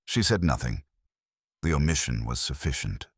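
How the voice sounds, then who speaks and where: A man voicing a stern, unsentimental genius detective in an audiobook, speaking in a formal, measured way. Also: rumbling low, sing-song, husky, no echo, studio quality, full character voice. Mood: grave, dismissive.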